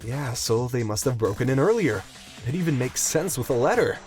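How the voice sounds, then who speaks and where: Man acting level-headed young man, medium pitch. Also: expressive, brisk, a little mellow, faint music behind, close to an everyday voice. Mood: agreeing. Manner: reasonable, explanatory. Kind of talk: audio drama acting.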